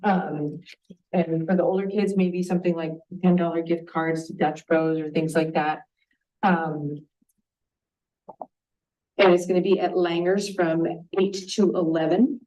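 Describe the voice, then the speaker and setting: A woman's voice: lowish voice, level tone, a little slow, warm tone. Chatty woman on a distant mic. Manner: chatty, hesitant. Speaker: board member. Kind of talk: meeting announcements.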